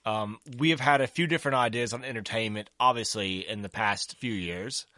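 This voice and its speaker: A man in his forties from the American South, joking and cursing his way through loose podcast chatter. His voice is bright and forward, recorded close and dry with no room sound. He rambles and restarts, laughing at his own stories. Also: on the low side, fairly quick, dry recording. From Southern United States. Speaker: podcast co-host. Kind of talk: casual podcast banter.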